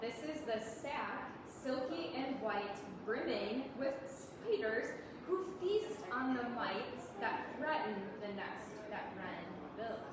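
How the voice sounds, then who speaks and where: A woman's voice: fairly high pitch, lively intonation, slow pace, few pauses, crowd noise. A woman leading story time as a children's librarian, talking simply to preschoolers, asking them questions and reading aloud. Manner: simple child-directed talk with frequent questions. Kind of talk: interactive read-aloud to preschoolers. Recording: very echoey room, distant mic, noisy.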